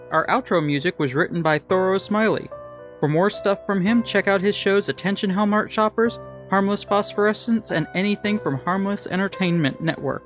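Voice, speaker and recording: A male announcer delivers scripted show credits and promos. His voice sits slightly high, with guitar music playing softly behind him. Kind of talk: scripted narration. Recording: music under the voice.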